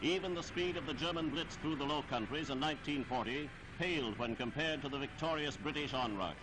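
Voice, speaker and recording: A man reading scripted 1940s newsreel narration in a formal, declamatory, measured delivery. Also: on the high side, noisy background. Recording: 1940s archival newsreel soundtrack with music.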